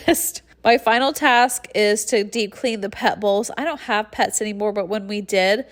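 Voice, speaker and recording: A congested young woman with a nasal, stuffy voice, chatting casually through a cleaning tutorial voice-over and breathing heavily. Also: mid-range voice. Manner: friendly and chatty, with light laughs and heavy breathing. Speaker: cleaning channel host.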